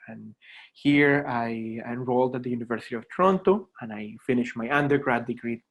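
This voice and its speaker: A man in his thirties from Colombia, a native Spanish speaker with a Colombian accent, introducing himself to students as a lecturer in a reflective, halting way. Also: mid-range voice, fairly bright tone. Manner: reflective, halting, with repeated words. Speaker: adjunct philosophy lecturer. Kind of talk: informal self-introduction to students.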